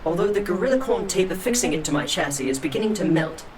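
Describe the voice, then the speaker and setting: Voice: medium pitch, expressive, fast, recorded from a distance, over a noisy crowd, lightly acted. A performer voicing a formal, deferential, literal-minded robot valet in a comedic audio drama, with prim and precise wording. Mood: politely reluctant.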